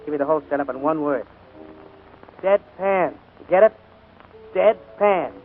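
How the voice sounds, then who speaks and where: Brusque, exasperated butler played by a man, wisecracking radio comedy style, higher-pitched, narrow old broadcast sound. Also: unhurried, faint music behind, natural voice. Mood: bossy, impatient. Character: gruff, harried male butler, bossy and exasperated older brother. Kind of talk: 1940s radio comedy acting. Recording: scratchy, narrow-band 1940s radio broadcast.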